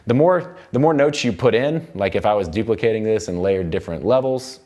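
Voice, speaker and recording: A man's voice: on the low side, lively intonation, brisk, darkish tone. A man teaching music production in an informal tutorial, rambling loosely and thinking aloud as he works. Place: indoor recording studio.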